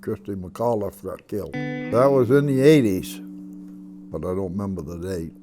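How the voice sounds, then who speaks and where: A man's voice: deep voice, animated, unhurried. A man, recalling his life in a terse, plainspoken way. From Maine, USA. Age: 80s. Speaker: grandparent being interviewed. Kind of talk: casual personal reminiscence. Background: loud acoustic guitar music.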